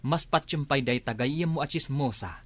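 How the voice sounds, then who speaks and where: A man's voice: mid-range voice, normal speed, fairly bright tone, no room sound, lightly acted. A man playing an argumentative, fault-finding village husband with an Ilocano accent in a comedy radio drama. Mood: accusing, taunting. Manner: argumentative, taunting.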